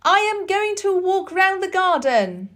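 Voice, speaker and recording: A woman's voice: extremely high pitch, big pitch swings, unhurried, a bit bright, pitched above the performer's own voice, full character voice. A woman voicing an imperious, earnest young boy in a storybook, declaring things emphatically. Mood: excited, commanding.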